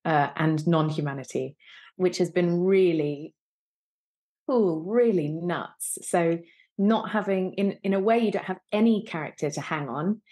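A woman in her thirties with a voice a little lower than average talks casually as a podcast guest. She thinks aloud, reshaping her phrases as she goes, over a video call. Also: measured pace, warm tone. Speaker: guest, actor. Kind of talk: casual interview conversation. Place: indoor room, on a video call.

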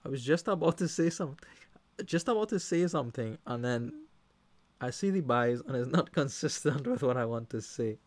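A man's voice: mid-range voice, moderate pace. A man with a Trinidadian accent giving live commentary on a card game, talking to the players and cheering at big plays. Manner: animated, talks to the players, shouts when excited.

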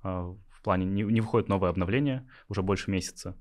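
Young man, very deep voice, interview guest, hesitant, lots of fillers. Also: fast, darkish tone. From Yakutia, Russia. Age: young adult. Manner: hesitant, many filler sounds, laughs easily. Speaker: startup founder, interview guest. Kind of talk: interview answers.

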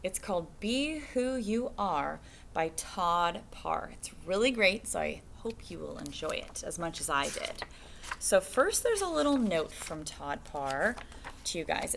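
A woman in her thirties, a teacher, reading a picture book aloud to young children in a classroom with a slow, sing-song storytime delivery. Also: medium pitch, a bit bright. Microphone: webcam at desk.

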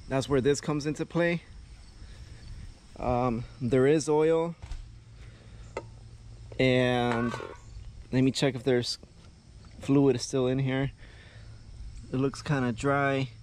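A man's voice: mid-range voice, darkish tone, slight background noise. A man narrating a car repair step by step as he works outdoors in a yard, filmed on a head-mounted camera. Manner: explains each step as he works. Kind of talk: casual hands-on narration. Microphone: head-mounted action camera.

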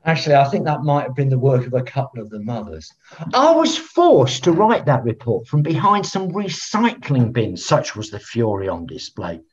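A man's voice: mid-range voice, very animated, moderate pace, lightly acted. Man playing a theatrical, wildly swooping older referee. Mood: indignant. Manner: self-important, theatrical. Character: pompous, eccentric veteran football referee, older man, self-righteous. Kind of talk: filmed comedy acting on a video call. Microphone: video call. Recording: dull, lo-fi video call audio.

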